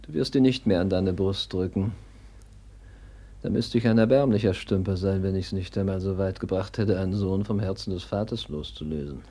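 An actor playing a scheming, envious younger son in a classical radio play, theatrical and declamatory, with an oily show of devotion. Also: male voice, sits low, normal speed, warm tone. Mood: cold, bitter scheming. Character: scheming, envious younger son, falsely pious, coldly ambitious.

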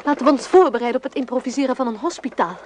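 An actress playing a vain, flighty, bossy young society wife in a Dutch radio play, with airy, imperious theatrical delivery. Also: female voice, sits high, very fast, natural voice. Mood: brisk, self-important. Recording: noisy old radio play recording.

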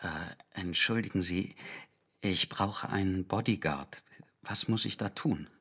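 A man's voice: low-pitched, a little slow, pushed and bright, breathy edge, exaggerated voice. An actor playing a despondent, brooding man in a radio drama, speaking hesitantly and in a subdued way. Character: brooding, despondent man hiring his own protection.

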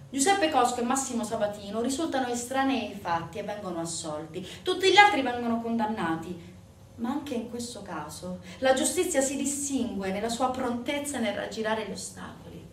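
Female stage narrator, grave and measured, higher-pitched, dramatic pauses, distant roomy sound. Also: slight background noise. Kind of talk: scripted theatrical narration. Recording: echoey room, distant mic, music.

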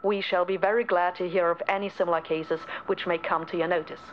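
A woman giving a measured, formal scripted reading of documents and headings for a radio documentary. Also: on the low side, steady tone, dull audio, a little hiss or hum. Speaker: documentary radio play reader.

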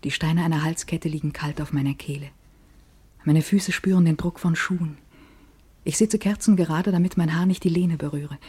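An actress playing a poised, vain young society woman in a radio play, speaking in an intimate, deliberate, breathy monologue with sensual expectancy. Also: deep voice, warm tone. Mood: sensual anticipation.

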